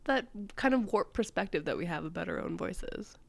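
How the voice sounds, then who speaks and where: Young woman cohost, agreeable, goes quiet and whispery, voice jumps around, gravelly. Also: on the low side, lively intonation, moderate pace, no echo. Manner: agreeable, drops into near-whispers. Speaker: podcast co-host. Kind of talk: casual chat recapping a sitcom episode.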